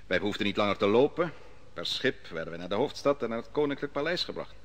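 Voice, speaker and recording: A man's voice: on the low side, animated, lightly acted. An actor playing a grown exile who solemnly recounts his youth at a foreign king's court in an old radio play. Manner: measured, solemn storytelling. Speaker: narrating prophet. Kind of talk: 1950s Dutch radio drama acting.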